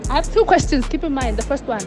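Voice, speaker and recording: A woman fronts a street interview with a phone held out as a mic. Her voice sits a little high and she needles people for answers. Beat music and crowd noise run underneath. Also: expressive, fast. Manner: teasing, upbeat, pushes for answers. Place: outdoors at a school and inside a store. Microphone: phone held as handheld mic. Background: background music and crowd chatter.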